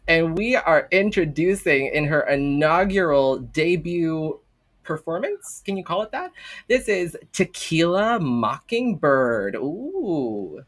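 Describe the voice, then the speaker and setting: A man's voice: a little high, sing-song, takes it slowly. A man hosting a talk show with playful banter, speaking in a campy, sassy, theatrical way.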